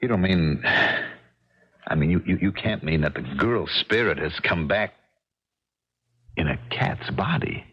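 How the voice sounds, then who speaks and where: Deep, husky, skeptical male visitor. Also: animated, heavily acted. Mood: disbelieving. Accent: American. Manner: halting, questioning. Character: skeptical, puzzled male visitor, practical-minded. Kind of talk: 1970s American radio drama acting. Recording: dull 1970s AM radio drama recording.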